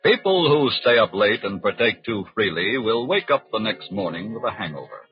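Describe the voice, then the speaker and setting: A man narrating a 1940s radio crime drama in a grave, portentous manner with deliberate emphasis, on a vintage broadcast recording. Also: fairly low pitch, very animated, dull audio, over music.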